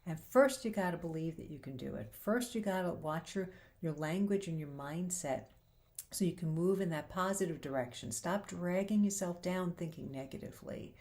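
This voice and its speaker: A woman's voice: on the low side, moderate pace, a little mellow. A woman hosting a faith-based talk show, speaking earnestly and encouragingly, her voice a little worn. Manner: earnest and encouraging, quoting scripture. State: slightly losing her voice.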